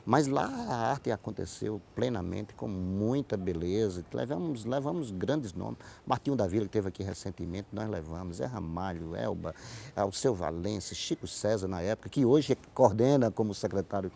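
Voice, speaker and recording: A man's voice: fairly low pitch, expressive, moderate pace, creaky, mellow. A middle-aged man with a Northeastern Brazilian accent from Paraíba, talking at length and explaining in a TV interview. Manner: talkative, explanatory, repeats and rephrases. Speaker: interview guest, writer and playwright. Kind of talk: conversational TV interview answers.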